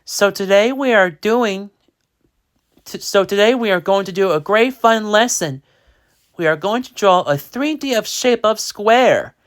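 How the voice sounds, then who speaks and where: Brief: reader playing upbeat teacher lady, raised pitch, slow. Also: animated, natural voice. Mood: cheerful. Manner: upbeat classroom instructions. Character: cheerful female art teacher.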